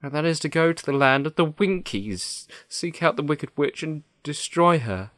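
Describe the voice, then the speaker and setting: A man's voice: fairly high pitch, lively intonation, normal speed, fairly bright tone, no room sound, clean studio sound, pitched above the performer's own voice. A man voicing a cowardly, blustering lion in a children's storybook, dramatic and earnest. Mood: glum. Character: cowardly male lion, blustering yet timid and pleading.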